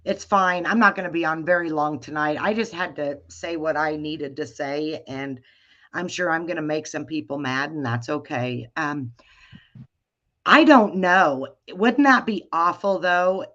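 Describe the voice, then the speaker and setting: A woman with a deep, low voice hosts a casual live chat, taking her time and stopping to restart her thoughts. Her speech is slow, and the stream audio sounds dull and lo-fi. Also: a bit bright. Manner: hesitant, halting with many restarts. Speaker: livestream host.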